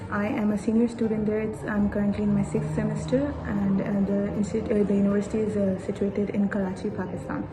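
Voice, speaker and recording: A 21-year-old woman raised in Saudi Arabia, introducing herself as a guest in a casual interview, with hesitant pauses and restarts and some Urdu mixed in. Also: mid-range voice, flat delivery, noisy background. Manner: hesitant, many fillers and restarts, mixing in Urdu. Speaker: interview guest, aviation student.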